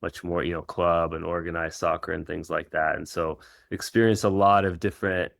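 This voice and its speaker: A middle-aged man who grew up in Israel, Kenya and the United States, answering interview questions in an informal, reflective, halting way as a coach. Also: sits low, flat delivery, vocal fry, a bit bright, dry recording. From Israel and Kenya, United States from age 13. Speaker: professional soccer coach.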